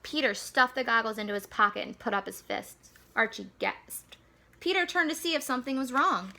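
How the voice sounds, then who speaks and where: A woman reading a children's picture book aloud as an expressive, animated storyteller. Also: a little high, moderate pace, a little hiss or hum. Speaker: school staff reader.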